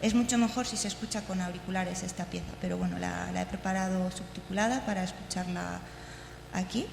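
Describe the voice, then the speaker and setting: A woman's voice: fairly low pitch, fairly even intonation, fairly quick, vocal fry. A woman giving an informal conference presentation, hesitant and searching for words. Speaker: conference speaker. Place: large conference room, indoors. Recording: very echoey hall, faint noise.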